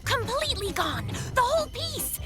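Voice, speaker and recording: High-pitched boastful cartoon bear cub. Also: very animated, normal speed. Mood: dramatic, bragging. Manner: bragging, exclamatory, dramatic. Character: Bucky, boastful excitable young boy bear cub. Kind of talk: children's cartoon acting. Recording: music behind the voice.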